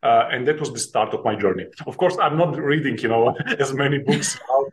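A man's voice: medium pitch, a little fast. A middle-aged man from Slovenia with a Slovenian accent, speaking as a guest in an interview, hesitant with many fillers. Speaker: guest, author and investing teacher. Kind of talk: podcast interview.

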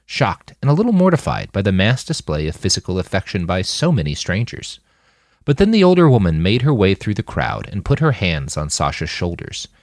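A man's voice: lowish voice, vocal fry, dry recording, studio quality. A man reading scripted fiction as an audiobook narrator. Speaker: author narrating his novel.

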